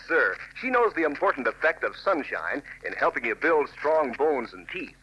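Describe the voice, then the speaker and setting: An American man delivering a 1940s radio commercial, folksy and enthusiastic, as if talking to children. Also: on the high side. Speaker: radio announcer reading a sponsor ad. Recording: dull, lightly noisy 1940s radio recording.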